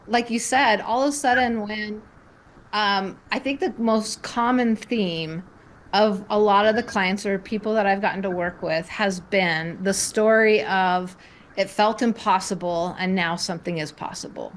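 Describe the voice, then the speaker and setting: A woman's voice: mid-range voice, a little slow. A woman speaking as a panelist in an informal, rambling, conversational way. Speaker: panelist working with clients. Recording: noticeable background noise.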